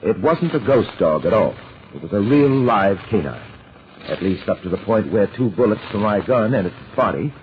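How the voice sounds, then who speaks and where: An actor playing a cool, commanding gentleman adventurer in an old-time radio drama, brisk and polite. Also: on the low side, animated, natural voice. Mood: composed, dry. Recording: noisy, phone-like old radio recording.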